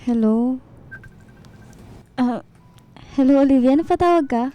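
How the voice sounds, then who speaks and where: An actress playing a meek but suspicious devoted wife in a radio drama. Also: female voice, sits high, unhurried, highs cut off. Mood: hurt, gentle. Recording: music behind the dialogue.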